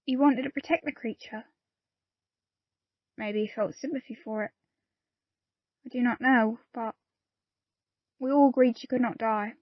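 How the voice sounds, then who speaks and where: A woman's voice: a little high, steady tone, measured pace, long pauses, dry recording, muffled recording. A woman playing a loyal, plain-spoken pirate crew member in an audio play, telling her story earnestly in old seafaring dialect. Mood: earnest, sorrowful. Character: loyal, plain-spoken pirate crewwoman confiding a grave secret.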